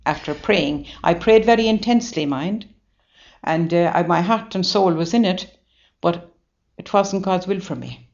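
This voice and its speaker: An older woman from Ireland with an Irish accent and a low voice tells her life story to an interviewer. She is earnest and halting, often restarting her sentences. The recording is dull and lo-fi. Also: vocal fry, a little mellow. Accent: Irish, Waterford. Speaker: nun. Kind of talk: interview reminiscence.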